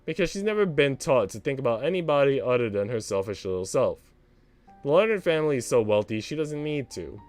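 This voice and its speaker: Visual novel role: worldly female parlour maid, sardonic and composed, with expressive intonation, read by a man. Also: medium pitch, a little fast, soft background music, close to an everyday voice. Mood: dryly amused. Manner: dry, unruffled, sardonic. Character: wry, worldly older parlour maid, an outsider woman.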